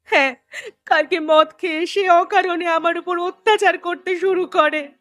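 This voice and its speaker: Woman acting a very high-pitched village wife. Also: fairly even intonation, unhurried, a bit bright, no room sound. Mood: anxious. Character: distressed village wife in secret affair. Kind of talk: Bengali horror cartoon dialogue.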